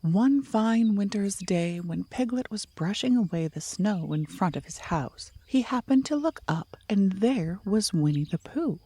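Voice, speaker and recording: A woman's voice: mid-range voice, expressive, a little slow, hardly any pauses, warm tone, husky. A woman reading a children's story aloud as an audiobook narrator.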